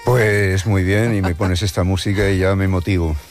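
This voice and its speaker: A man from Madrid with a Madrid Spanish accent speaks in a very low, deep voice. He is a musician answering a radio interview, thinking aloud and restarting his sentences. Quiet music hums faintly underneath. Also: fairly even intonation. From Madrid, Spain. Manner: thoughtful, halting, many restarts. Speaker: musician guest.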